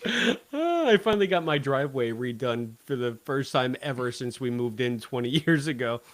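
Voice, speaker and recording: A middle-aged man with an American accent talks casually as a podcast co-host. His voice sits at an ordinary middle pitch, and his delivery is dry and joking, like relaxed banter between friends. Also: normal speed. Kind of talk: casual banter about a show.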